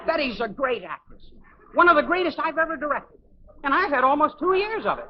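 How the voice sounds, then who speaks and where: A woman's voice: high voice, sing-song, a little fast, a little hiss or hum. A woman playing a pompous young amateur director in a 1950s radio sitcom, declaiming floridly. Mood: boastful. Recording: dull old radio recording.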